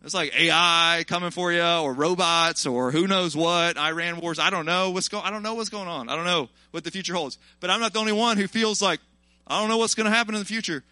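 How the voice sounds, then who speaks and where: A man in his early forties preaching an informal sermon in an animated, colloquial, exhorting way. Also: high voice, fairly quick, no room sound. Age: early 40s. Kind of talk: informal sermon to a live congregation, with personal testimony.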